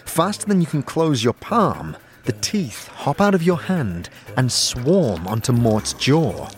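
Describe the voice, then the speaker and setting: A man's voice: medium pitch, big pitch swings, slow pace. A man delivering scripted second-person narration for a fantasy audio drama. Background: music.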